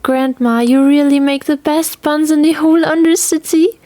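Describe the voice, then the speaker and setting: A woman's voice: sits high, steady tone, moderate pace, dark tone, no room sound, voice pushed up, lightly acted. A woman voicing a cheerful twelve-year-old girl in an audio story, bubbly, eager and affectionate. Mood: excited. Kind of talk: acted audio-play storytelling.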